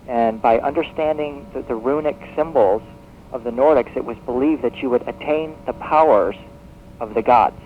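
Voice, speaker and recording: A man speaking as a guest expert in a radio interview, explaining in a halting, expository way and repeating words as he goes. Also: medium pitch, phone-quality sound. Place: radio broadcast, taped off air. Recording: thin, noisy shortwave radio broadcast on cassette.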